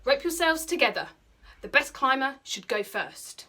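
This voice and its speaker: A woman's voice: high-pitched, animated, moderate pace, voice pushed up, heavily acted. A woman plays a young Viking bully from a storybook, British and bossy. The voice is raised and commanding, full of menace, barking orders at the gang. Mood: bossy, menacing. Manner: commanding, forceful.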